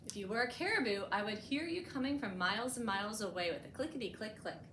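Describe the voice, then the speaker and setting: An echoey room with clear background noise surrounds a woman hosting storytime. She has a medium-pitched voice and reads expressively for young listeners, leaning on key words. She moves between reading and simple explanations. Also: animated, fast, fairly bright tone. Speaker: librarian hosting a bedtime storytime. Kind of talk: picture-book read-aloud with explanatory asides for children.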